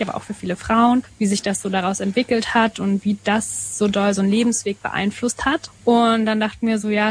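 Young woman, casual, over clear background noise. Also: mid-range voice. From eastern Germany. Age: 20s. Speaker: podcast co-host and journalist. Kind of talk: casual conversational storytelling.

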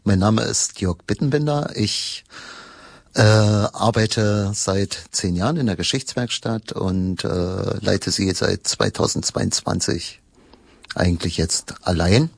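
A middle-aged man with a fairly low voice gives interview answers. He speaks haltingly, pausing often as he explains. The recording is close and dry. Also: vocal fry, no echo. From eastern Germany. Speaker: local historian, history workshop leader.

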